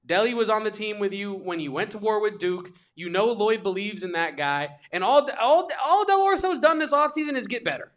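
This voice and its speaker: A man co-hosting a sports podcast, talking casually and excitably, with emphatic repetition and quick restarts. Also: high-pitched.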